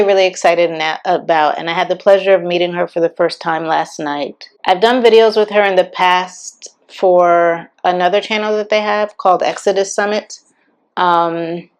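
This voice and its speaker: A woman with a slightly raspy voice talking candidly and chattily in a casual personal vlog. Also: lowish voice. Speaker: vlogger.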